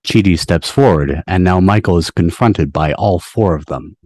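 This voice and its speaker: A man's voice: low voice, moderate pace, darkish tone, no echo. A man reading scripted stage directions as the narrator of a table read. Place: online video call. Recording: dry video-call audio.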